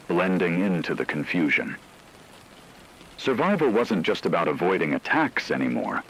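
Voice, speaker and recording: A man narrating a scripted apocalyptic story in a solemn, measured way. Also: low voice, vocal fry, fairly bright tone, slight background noise, rough recording.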